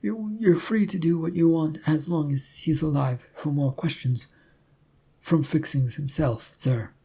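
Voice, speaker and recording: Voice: medium pitch, a little slow, creaky, warm tone, husky, voice pushed up. A narrator voicing a nervous, deferential soldier guard in a story, stumbling through a report. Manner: hesitant, deferential.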